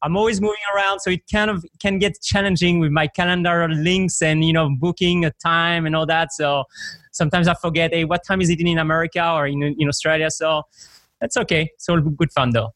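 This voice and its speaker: A man with a Belgian accent, a guest on a podcast, talking in a relaxed, conversational way with many fillers. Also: a little high, fairly quick, dry recording. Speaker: guest, software company owner. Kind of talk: casual interview chat.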